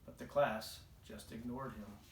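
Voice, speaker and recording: A man's voice: medium pitch, steady tone, a little slow, noisy background. A man reading a children's storybook aloud as the narrator. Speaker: storybook reader. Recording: echoey, roomy home recording.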